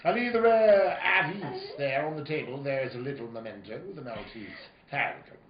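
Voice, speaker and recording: A man playing a genial, wordy, calculating gentleman villain in a theatrical old-time radio play, pompous and courtly. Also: mid-range voice, normal speed, a crowd in the background, lightly acted. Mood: jovially courteous. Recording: roomy, dull, faintly noisy live recording.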